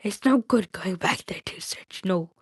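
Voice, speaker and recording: A woman's voice: medium pitch, measured pace, husky, no room sound. Woman performing wretched cave creature, suspicious, whispery hiss, muttered baby-talk, storybook villain, dry sound. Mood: suspicious, muttering. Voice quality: whispery, hissing.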